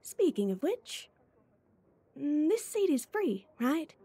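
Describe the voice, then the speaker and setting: A woman's voice: high voice, very animated, slow, dark tone, heavily acted. A woman voice actor playing a playful, teasing, clingy schoolgirl childhood friend in a chatty ASMR roleplay.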